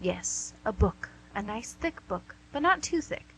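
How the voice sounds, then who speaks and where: Devil for radio comedy, played by a woman: bright, forceful voice, smug salesmanship, teasing rhetorical questions. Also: medium pitch, sing-song, unhurried, a little hiss or hum, rough recording. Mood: haggling. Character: the Devil, wheeling-dealing, smug, teasing tempter. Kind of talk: radio play comedy acting.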